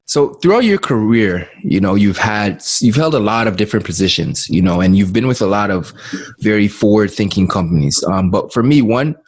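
A man's voice: lowish voice, animated, normal speed, slightly rough. A man hosting a casual interview, asking questions hesitantly and often repeating his words. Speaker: interview host.

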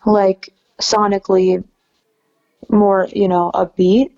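A young woman with a soft, raspy, low-leaning voice speaks in a relaxed, chatty way, full of "like" and "you know." Her tone is warm and mellow. The audio sounds a little muffled and dull. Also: takes it slowly. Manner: relaxed, chatty, many fillers. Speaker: singer-songwriter guest. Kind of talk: casual interview chat.

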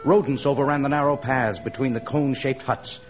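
A man's voice: medium pitch, fairly even intonation, brisk. A man narrating an adventure serial in dramatic, scripted old-radio style. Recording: dull old radio recording, music behind. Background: music.

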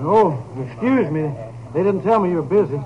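An actor playing a folksy, hesitant rancher with colloquial country speech in a 1950s radio drama. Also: male voice, sits high, normal speed, slight background noise, lightly acted. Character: folksy rancher, hesitant friend covering for someone. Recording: dull 1950s radio recording.

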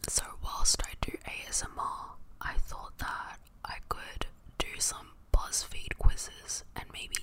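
A woman whispering into a close mic in a casual ASMR chat, softly repeating words and a little out of breath. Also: rough recording. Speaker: podcast host. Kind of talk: whispered ASMR chat doing online quizzes.

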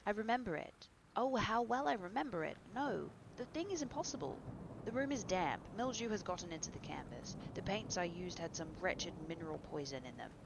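A woman's voice: deep voice, a little fast, creaky, few pauses, background noise. A woman voicing a horrified, anguished male painter in a dramatic audiobook, exclaiming and pleading with emphasis. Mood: horrified, agitated. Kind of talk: dramatic audiobook character reading.

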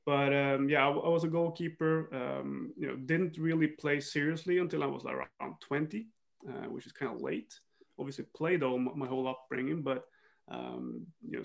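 A man's voice: medium pitch, gravelly. A man from northern Sweden, talking thoughtfully as an interview guest, with many restarts and fillers. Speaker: guest, football coach. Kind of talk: reflective interview talk.

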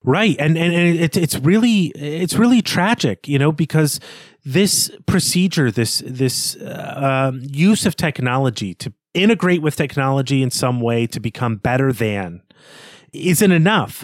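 A man's voice: medium pitch, no room sound, studio quality. A man co-hosting a podcast in a literary discussion, earnest and analytical, often repeating and rephrasing his words as he goes. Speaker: podcast co-host.

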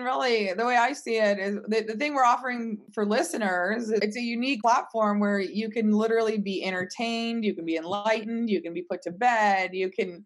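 A woman guest answering in a casual interview, explaining things in an emphatic, conversational way. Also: a little high. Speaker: podcast guest, company team member.

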